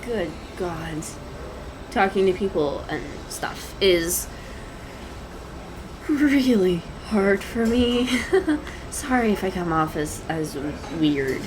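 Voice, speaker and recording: A woman's voice: medium pitch, animated, slow, long pauses. A woman playing a shy, adorkable young writer in an audio roleplay, speaking hesitantly with stammers, nervous giggles and apologetic phrasing. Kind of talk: intimate one-sided audio roleplay acting. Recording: clear background noise, cafe ambience effects. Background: coffee shop sound effects.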